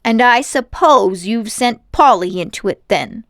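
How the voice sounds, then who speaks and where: A woman's voice: fairly high pitch, expressive, a little slow, dry recording, clean studio sound. Woman acting defiant, hot-tempered schoolboy. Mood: angry. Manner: blunt, indignant, accusing. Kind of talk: acted storybook dialogue.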